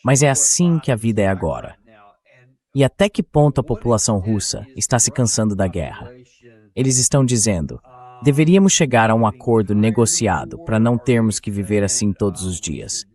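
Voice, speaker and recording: A man reading an interview host's questions in a measured, explanatory way, as a translated voice-over. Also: on the low side, lively intonation, a little mellow. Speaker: interview host.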